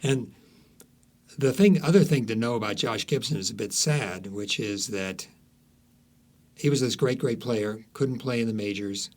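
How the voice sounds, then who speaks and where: A man speaking as an author guest in an interview, telling stories in a halting, emphatic way that repeats phrases. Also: on the low side, a little mellow. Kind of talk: conversational storytelling interview.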